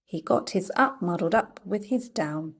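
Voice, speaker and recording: A woman reading a children's story aloud in a playful, deliberate storytelling manner. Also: medium pitch, lively intonation, fairly bright tone, breathy edge. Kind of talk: read-aloud storybook narration.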